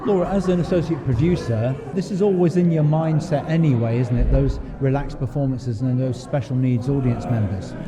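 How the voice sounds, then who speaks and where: A man's voice: mid-range voice, moderate pace, few pauses. A man interviewing for a podcast in a conversational style, leading at length into his questions. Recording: dull sound, busy crowd behind. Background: crowd chatter at an event.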